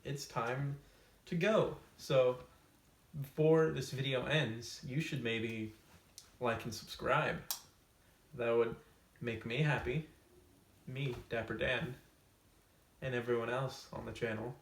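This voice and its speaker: A man hosting a comedic storytime video, casually summing up a book to camera with tongue-in-cheek, deadpan asides. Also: mid-range voice, takes it slowly, long pauses, far from the mic. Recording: roomy echo, off-mic, faint hiss.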